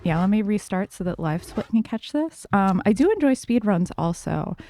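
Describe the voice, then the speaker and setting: A woman livestreaming a game, talking calmly and softly to her chat with lots of fillers. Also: mid-range voice, normal speed. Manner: soft, calm, many fillers. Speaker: streamer.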